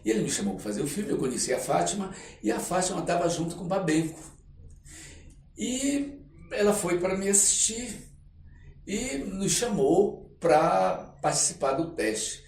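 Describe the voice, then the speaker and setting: An older man with a Brazilian accent, telling anecdotes as an interview guest in a halting, reflective, casual way. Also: high-pitched, very animated, darkish tone. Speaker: interview guest, actor and screenwriter. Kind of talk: casual podcast storytelling. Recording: roomy echo, distant microphone.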